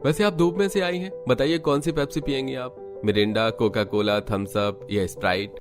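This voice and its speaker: A man's voice: medium pitch, steady tone, moderate pace, over music, lightly acted. A man playing an eager, chatty and oblivious young suitor in a cartoon comedy. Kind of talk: Hindi cartoon comedy acting.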